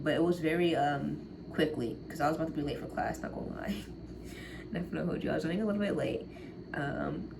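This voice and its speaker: Low-voiced chatty teen woman vlogging. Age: late teens. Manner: rambling, chatty, laughs easily, trails off. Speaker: college vlogger. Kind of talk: casual chat to camera. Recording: noticeable background noise.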